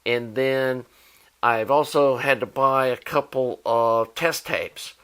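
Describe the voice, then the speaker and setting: A man's voice: medium pitch, slow, fairly bright tone, clean tone. An American man talking informally as a hobbyist video host, explaining gear in a rambling, think-aloud way. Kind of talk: casual explanatory product talk.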